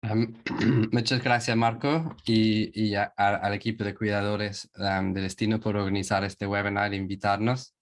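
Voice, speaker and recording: Flat, low-voiced English man speaking Spanish. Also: normal speed, few pauses. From England. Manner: hesitant, searching for words in a second language. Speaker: presenter. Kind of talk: webinar presentation.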